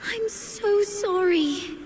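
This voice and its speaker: A gentle young woman in a video game, very high in pitch and slow to speak. She sounds apologetic and delicate, over clearly audible ambient noise. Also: heavily acted. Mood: soft, apologetic. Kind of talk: video game character dialogue.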